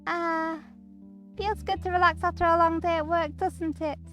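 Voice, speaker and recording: A woman's voice: extremely high pitch, steady tone, normal speed, fairly bright tone, soft background music, pitched above the performer's own voice. A woman voicing a cheerful, pushy young female coworker in a visual novel, coaxing and sweetly teasing. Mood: concerned, sweet. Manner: bubbly, coaxing. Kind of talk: visual novel character acting.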